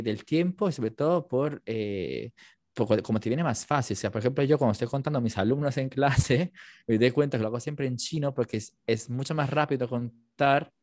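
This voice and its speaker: A man's voice: mid-range voice, darkish tone, no room sound, studio quality. Italian man chatting reflectively. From Italy, Naples. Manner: reflective, thinks aloud with many fillers. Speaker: language teacher and interpreter. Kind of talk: casual conversation.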